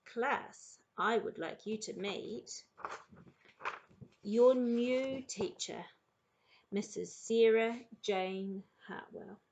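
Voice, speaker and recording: A woman voicing an effusive, brisk female principal in a storybook reading. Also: on the high side, a little mellow, heavily acted. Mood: brisk, announcing.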